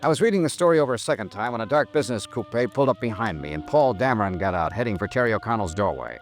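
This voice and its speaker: Low-voiced hardboiled radio detective. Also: male voice, very fast, music in the background. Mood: wry, measured. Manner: clipped, hardboiled, deadpan. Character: tough, world-weary male insurance investigator narrating his case. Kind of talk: 1950s radio detective drama acting and narration.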